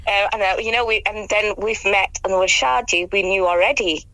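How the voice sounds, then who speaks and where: A middle-aged woman from Britain, slightly hoarse, chatting enthusiastically as a radio studio guest. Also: mid-range voice, fairly even intonation, normal speed, over a noisy crowd, low-quality recording. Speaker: radio guest, amateur actress. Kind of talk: casual radio interview chat.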